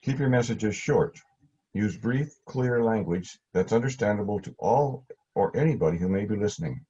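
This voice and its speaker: A man giving scripted instructional narration in a plain, measured way, as a class instructor. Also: on the low side, expressive, warm tone, breathy edge. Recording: dull, lo-fi audio.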